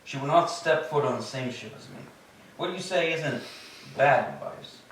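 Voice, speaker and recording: A man's voice: a little high, lively intonation, moderate pace, a bit bright, slight background noise. A man playing a stern, scornful wronged Greek king in a staged tragedy reading, imperious and declamatory. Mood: imperious, dismissive. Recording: very echoey hall, distant mic.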